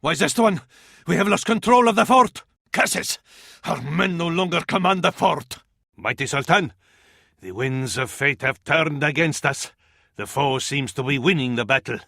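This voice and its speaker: An actor playing a devout, grandiose advisor to a sultan in a video game, with an Arabic accent and flowery, dramatic delivery. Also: male voice, a little high, lively intonation, normal speed, creaky, sharp tone, dry recording, clean studio sound, full character voice. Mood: urgent alarm. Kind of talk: theatrical video game voice acting.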